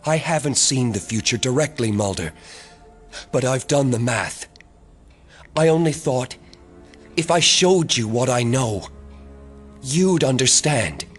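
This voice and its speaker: An actor playing a solemn, quietly menacing psychic in an audio drama, speaking in portentous statements. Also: male voice, medium pitch, animated, a little slow, long pauses, breathy edge, soft background music. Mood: earnest, regretful.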